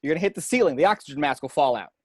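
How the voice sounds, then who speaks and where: Man acting fretful businessman dad, thirties, lively swinging pitch, hesitant, nervous, table read. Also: racing. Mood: fretful, bossy. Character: anxious, flustered thirty-five-year-old businessman dad.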